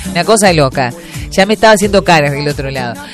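Uruguayan woman, radio host, lowish voice, chatty, faint music under her. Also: lively intonation, quick pace. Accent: Uruguayan Spanish. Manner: chatty, many fillers and restarts. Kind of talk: casual radio chat reading listener messages.

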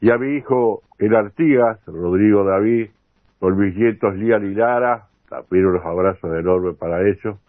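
An older man with a Uruguayan accent hosting a radio interview programme, with a halting delivery that often repeats words. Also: on the low side. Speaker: radio host. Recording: tinny phone-like radio sound, roomy echo.

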